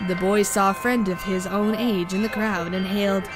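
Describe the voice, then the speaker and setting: A woman reading a storybook as narrator, in a steady, measured way. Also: mid-range voice. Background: music.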